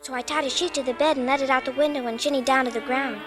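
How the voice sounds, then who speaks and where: A woman's voice: high-pitched, fairly even intonation, fast talker, music in the background, natural voice. A young actress as a tearful frightened little girl. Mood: narrating. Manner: pleading, tearful and insistent. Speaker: child lead in radio drama. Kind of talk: 1940s radio acting. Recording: old radio broadcast with noise.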